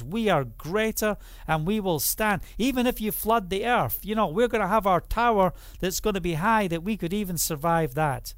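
A man's voice: sits high, no echo, clean studio sound. A middle-aged man with a Scottish accent preaching a sermon, reading scripture aloud and putting questions to the audience before answering them himself. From Scotland. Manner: reads scripture aloud then explains it.

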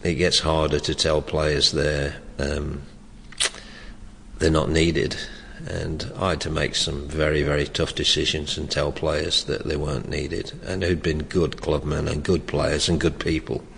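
A man's voice: a bass voice, little pitch movement, measured pace, vocal fry. An older man, a former soccer coach, talking in an interview. He speaks hesitantly, restarting his sentences. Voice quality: loud voice. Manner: hesitant, with many restarts and chuckles. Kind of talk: reflective oral history interview. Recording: long reverb, faint background noise.

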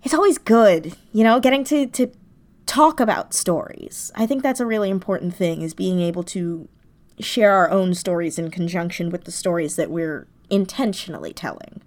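A woman chatting casually as a podcast guest, an animated, effusive storyteller talking about her writing. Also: on the low side, moderate pace. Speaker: podcast guest, audio drama writer. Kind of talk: casual interview chat.

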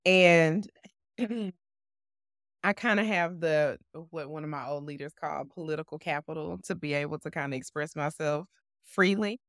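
A woman co-hosting a podcast who talks loudly with a bassy voice, measured and assured. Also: dry recording, studio quality. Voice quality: loud with a bassy voice. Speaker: podcast co-host. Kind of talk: casual conversation.

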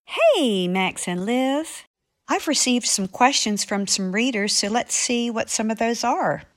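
A woman's voice: on the high side, expressive, measured pace. A woman, an author, chatting conversationally as she answers readers' questions. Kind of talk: conversational monologue.

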